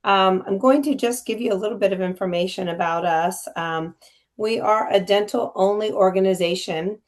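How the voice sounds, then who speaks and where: A woman insurance representative with a raspy voice today, speaking in a friendly, chatty way on a video call. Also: mid-range voice, normal speed.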